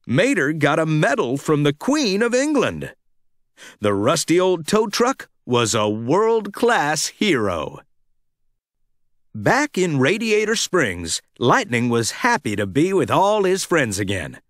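A man reads a children's storybook aloud with expressive, dramatic phrasing. His fairly high voice rises and falls in lively swings, and he takes his time over each sentence so young listeners can follow along. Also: no room sound, studio quality. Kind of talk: scripted read-along storytelling.

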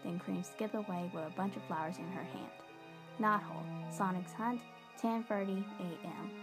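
A woman with a medium-pitched voice narrates a scripted story. She reads it aloud, with music playing behind her. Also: steady tone, normal speed, low-quality recording.

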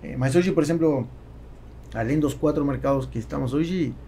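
High-pitched Argentine man, gravelly edge. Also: moderate pace, warm tone, a little hiss or hum. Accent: Argentine Spanish. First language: Spanish. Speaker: entrepreneur, podcast guest. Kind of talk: conversational interview answers. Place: small foam-padded podcast studio. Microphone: studio microphone on boom arm.

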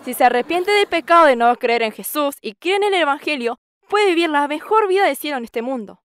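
A woman's voice: sits high, lively intonation, fairly quick, a bit bright, dry recording. A woman in her sixties, a farmer, giving an earnest church testimony on a studio stage, reciting scripture and acting out conversations. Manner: earnest, quotes scripture at length and acts out dialogue with a raised voice. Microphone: lapel mic.

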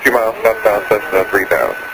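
A male air traffic controller with a low voice gives brisk procedural instructions. He speaks quickly over aviation radio, with clear static and noise around the words. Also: fairly even intonation.

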